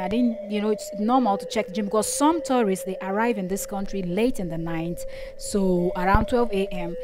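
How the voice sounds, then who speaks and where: A woman's voice: medium pitch, noisy background. Earnest young woman telling a story. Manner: earnest, repeats "okay?" to check listener follows. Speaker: fitness vlogger. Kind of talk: storytelling voice-over.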